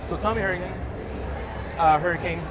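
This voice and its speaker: A man hosts a casual interview, rambling and circling back with plenty of fillers. His voice sits a bit high, and loud public noise runs constantly behind him. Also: brisk. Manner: rambling, lots of fillers and repeats. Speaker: interview host. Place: casino, busy public area.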